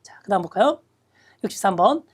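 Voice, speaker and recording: A woman lecturing on exam reading questions, reading Japanese phrases slowly and then explaining them step by step. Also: medium pitch, lively intonation, darkish tone, slightly rough. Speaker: Japanese reading test instructor.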